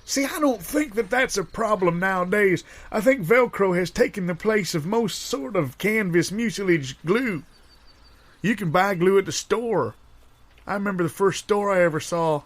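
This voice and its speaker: A man's voice: sits high, moderate pace. A man playing a forgetful old grandpa, rambling through folksy reminiscences in improvised audio comedy. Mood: folksy, reminiscing. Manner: meandering, folksy storytelling.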